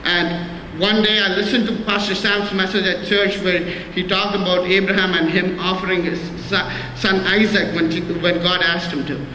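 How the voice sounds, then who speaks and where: Halting high-voiced man in echoey hall. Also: level tone, bright, forceful tone. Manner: halting, many restarts and repeated words; earnest and plain. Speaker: church member giving testimony. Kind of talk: spoken testimony in church. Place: church hall. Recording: echoey hall with faint noise.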